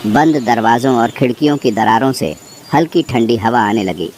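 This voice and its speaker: A man's voice: mid-range voice, moderate pace, a little mellow, slight background noise. A man reading a scripted horror story as narrator.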